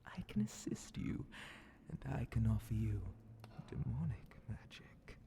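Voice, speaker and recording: A man voicing a scheming demon Grand Vizier in a whispered ASMR fantasy roleplay, sly, menacing and condescending, with breathy, theatrical, drawn-out phrasing. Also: lively intonation, warm tone. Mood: ominous, persuasive. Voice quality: breathy whispering mixed with low gravelly creak.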